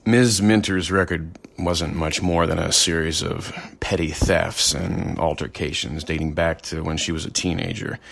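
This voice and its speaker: An actor playing a small-town investigator in an audio drama, giving a dry, factual, conversational account like testimony. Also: male voice, low voice, fairly even intonation, moderate pace, creaky, few pauses, fairly bright tone, exaggerated voice. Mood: matter-of-fact.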